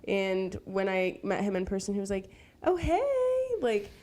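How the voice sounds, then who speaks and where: A woman's voice: mid-range voice, moderate pace. A young woman co-hosting a podcast, chatting casually and teasing her friends with playful stories. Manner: playful, teasing, lively storytelling. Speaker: podcast co-host. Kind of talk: casual chat.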